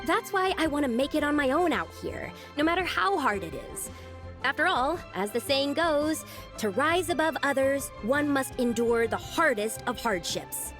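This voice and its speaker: An earnest young girl from a video game, with a fairly high, lively voice. She sounds determined and plain-spoken. There is faint music behind her. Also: normal speed. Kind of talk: video game character acting.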